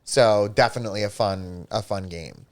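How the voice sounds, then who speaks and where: A man's voice: fairly low pitch, normal speed, clear, resonant tone. A man co-hosting a livestream, chatting casually with lots of fillers and an upbeat manner. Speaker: livestream co-host.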